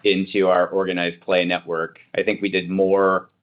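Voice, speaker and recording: Low-voiced executive man, slightly muffled line. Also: completely flat delivery, normal speed. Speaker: company executive on an earnings call. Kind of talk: answering analyst questions, semi-formal business talk. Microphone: conference call line. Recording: muffled conference call audio.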